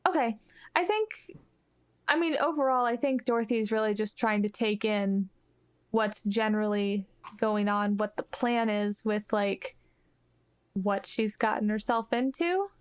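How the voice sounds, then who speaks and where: A woman's voice: mid-range voice, unhurried, clean tone. A woman playing in a tabletop roleplaying game, chatting casually about her character and her choices.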